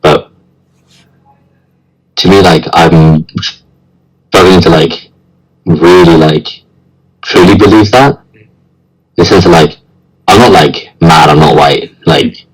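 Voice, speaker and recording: A man's voice: sits low, slow, long pauses, breathy edge, poor audio. A young man, chatting casually as a guest, hesitant and rambling with lots of slang. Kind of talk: casual chat.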